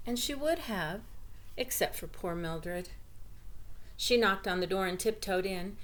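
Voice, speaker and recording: A woman's voice: mid-range voice, a little slow, fairly bright tone, slight background noise. A woman reading a children's story aloud and chatting simply and expressively to young listeners.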